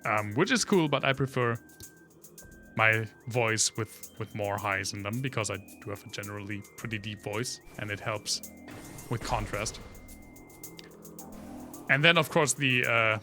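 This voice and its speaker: A German-accented man rambles through trivia for his chat while playing a game, his voice bright and forward through a studio condenser mic. Faint game music plays under his talk. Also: fairly low pitch, unhurried, pauses often. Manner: rambling, full of trivia, talks to chat between gameplay reactions. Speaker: livestreamer. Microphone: studio condenser microphone.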